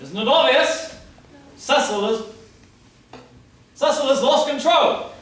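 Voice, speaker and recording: A woman's voice: sits high, slow. A woman playing a grave, urgent police inspector, dramatic and commanding, in a staged reading of a period murder mystery. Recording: very echoey, far from mic.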